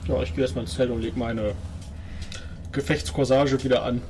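A man's voice: mid-range voice, steady tone, moderate pace, a little mellow, slight background noise. A man playing a tabletop role-playing game, describing and speaking for his character. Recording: music playing behind the voice.

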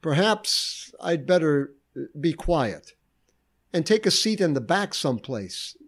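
A man's voice: a little high, takes it slowly. A man giving a short devotional sermon, reflective and earnest, asking questions aloud. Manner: reflective, lively preaching with rhetorical questions. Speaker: preacher.

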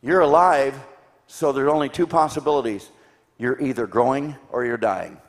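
A man in his sixties preaching a sermon to a congregation with emphatic delivery, rhetorical questions and deliberate pauses for stress. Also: mid-range voice. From grew up in Tanzania. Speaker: guest preacher. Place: large church sanctuary, indoors. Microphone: handheld microphone. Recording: echoey church hall sound.

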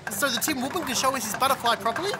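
A woman voicing a silly, cheerful, excitable living scarecrow with a scatterbrained manner in an audio drama. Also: high voice, racing, heavy background noise, close to an everyday voice. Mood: chatty.

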